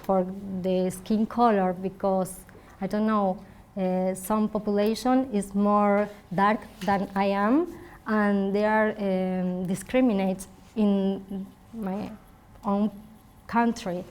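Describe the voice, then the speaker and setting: A woman from Argentina with a Spanish accent, speaking haltingly and searching for words as she gives an academic talk. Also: mid-range voice, fairly even intonation, a little mellow, smooth. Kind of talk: academic talk and answering questions. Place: indoor university conference room. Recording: noisy conference room recording.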